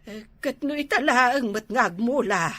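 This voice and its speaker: A woman's voice: fairly high pitch, animated, measured pace, pushed and bright, no echo, exaggerated voice. A woman with an Ilocano accent playing a fretful mother, scolding yet indulgent toward grown sons, in a comedy drama.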